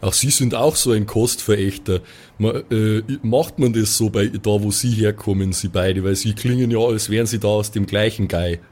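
Man playing a deep, folksy Bavarian-accented strongman. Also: steady tone, darkish tone, lightly acted. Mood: folksy, chatty. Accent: southern German-Austrian Bavarian. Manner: folksy, unhurried, hesitant. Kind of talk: improvised tabletop roleplay audio play.

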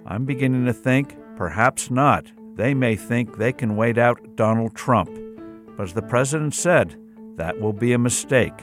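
A man hosting an interview show, giving political commentary and asking questions in a measured, conversational way. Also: medium pitch, faint music behind. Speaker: host.

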